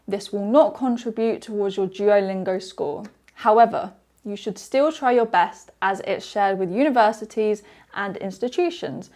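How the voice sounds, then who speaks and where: A woman from the UK presents an online exam webinar as its trainer. Her voice sits at a middle pitch, and she explains each slide in a steady way. She often restarts sentences with "so" as she talks.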